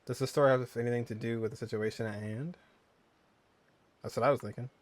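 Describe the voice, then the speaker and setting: A man's voice: mid-range voice, steady tone, fast. A man giving casual gameplay commentary as a host, reacting aloud in an informal way. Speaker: gaming video host.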